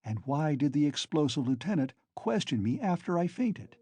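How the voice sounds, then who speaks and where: A feverish, bitter young man, as a male narrator puts him on, his voice pitched up and swinging widely as he taunts. The tone stays mellow and a little husky, close and dry, with a storybook reading's restraint. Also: big pitch swings, normal speed, no echo. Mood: taunting. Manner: mocking, then pleading in a frenzy.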